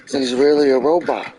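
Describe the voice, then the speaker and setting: A man's voice: medium pitch, mellow, low-quality recording. A man chatting casually in an informal, offhand way. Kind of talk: casual chat.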